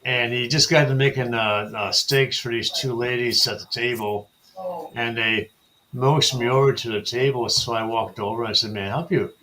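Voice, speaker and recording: A man telling a story in casual chat as a co-host, halting, with many fillers. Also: medium pitch, steady tone, crowd noise, low-quality recording.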